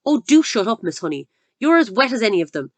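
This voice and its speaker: A woman voicing a huge, cruel headmistress who barks her words with loud scorn and contempt. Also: lively intonation, a little fast, no room sound, close to an everyday voice. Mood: gloating. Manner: barking, booming and contemptuous.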